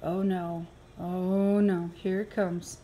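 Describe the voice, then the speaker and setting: Woman reading a storybook to kids, really expressive, takes her time, bit of room noise behind her. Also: fairly low pitch, slow. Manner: expressive, playful, exaggerated reactions. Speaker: early childhood program coordinator reading aloud. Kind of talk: animated children's picture book read-aloud. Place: indoors, filmed over an open book.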